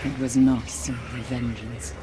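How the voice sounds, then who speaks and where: Haunted female survivor: grave, low-voiced, unhurried game character, mournful and formal, ambient noise behind. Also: flat delivery. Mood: mournful, reflective. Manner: solemn, deliberate, formal phrasing. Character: solemn grieving female survivor haunted by lost friends. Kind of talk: video game cutscene acting.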